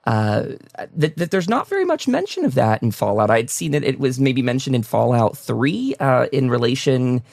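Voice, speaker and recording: A man's voice: mid-range voice, very animated, normal speed, creaky, a little mellow, no echo, clean studio sound. A man hosting a casual interview show, talking in an enthusiastic, effusive way. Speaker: talk show host.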